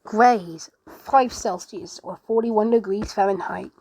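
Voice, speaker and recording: A woman narrating a homemade mock emergency broadcast in a halting way, often restarting her sentences. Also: medium pitch, a little mellow, low-quality recording. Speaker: narrator of an emergency alert scenario video.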